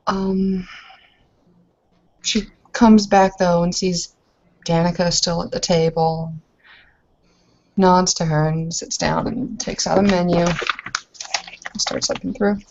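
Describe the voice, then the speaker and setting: A woman's voice: on the low side, a little mellow. A woman playing a tabletop roleplaying game, narrating her character's actions casually as she improvises. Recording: dull mic with faint noise.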